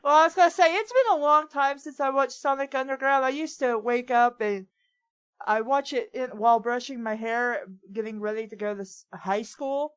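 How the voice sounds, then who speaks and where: A woman's voice: sits high, normal speed, smooth, no echo. A woman chatting and riffing casually as a reader on a group read-along show.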